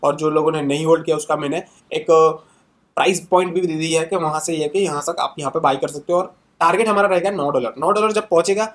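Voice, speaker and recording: A young man hosting his own channel, talking through charts in a casual, conversational way and closing many sentences with quick tags and fillers. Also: fairly high pitch. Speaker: crypto channel host. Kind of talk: casual screen-share market analysis.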